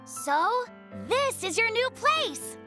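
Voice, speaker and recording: A woman voicing a sweet, earnest, nature-loving little bear cub in a children's cartoon, tender and gently insistent. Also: very high-pitched, sing-song, slow pace, full character voice. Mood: gentle, lyrical. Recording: music bed behind the voice.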